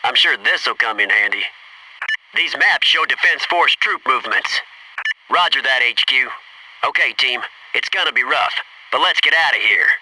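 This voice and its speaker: Clipped Navy SEAL squadmate, noisy distant audio. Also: male voice, mid-range voice, animated, normal speed, bright, forceful tone. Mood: focused, businesslike. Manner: clipped military reporting with dry wisecracks. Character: alert, loyal Navy SEAL squad soldier reporting in. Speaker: video game voice actor. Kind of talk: military shooter game voice lines, radio reports.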